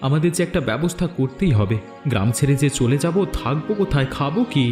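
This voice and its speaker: A man's voice: on the high side, animated, fast, darkish tone, close to an everyday voice. A man voicing a troubled, kindly village farmer in a fairy-tale cartoon, speaking earnestly. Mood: worried, earnest. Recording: echoey voice track with music.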